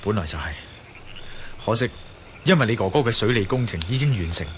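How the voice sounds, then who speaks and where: An actor playing a level-headed adventurer in a Cantonese radio drama, explaining and reassuring. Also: male voice, on the low side, lively intonation, a little fast, slight background noise, close to an everyday voice. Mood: calm, reassuring.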